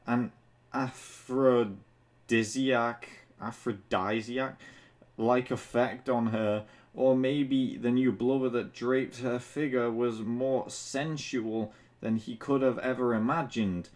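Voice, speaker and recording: A man's voice: medium pitch, darkish tone. A man reading fan fiction aloud for comedy, amused and crude, stumbling a little and dropping in asides. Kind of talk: comic read-aloud with asides.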